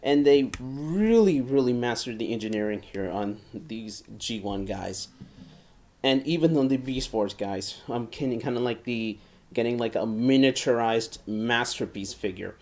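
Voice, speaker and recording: A man giving a casual hands-on review in a halting, enthusiastic way, repeating and restarting phrases and stressing key words. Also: medium pitch, animated, darkish tone. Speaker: toy reviewer. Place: indoor room at home, seated at a table.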